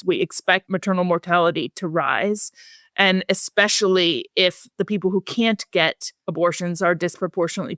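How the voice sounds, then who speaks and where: A woman speaking as an expert guest in a research interview, explaining things in a measured, plain-spoken way. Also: fairly low pitch, a bit bright, dry recording, clean studio sound.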